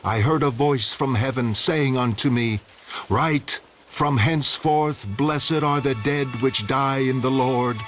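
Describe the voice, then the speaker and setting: Man voicing a solemn priest, slow measured recitation, liturgical, music behind. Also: mid-range voice, a bit bright. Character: solemn male priest at a funeral.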